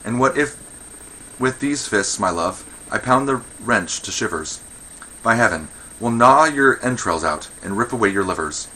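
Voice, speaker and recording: A man's voice: fairly low pitch, lively intonation, moderate pace, sharp tone, poor audio. A man playing quarrelsome, blustering old Athenian men in a verse comedy, hurling declamatory insults. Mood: menacing.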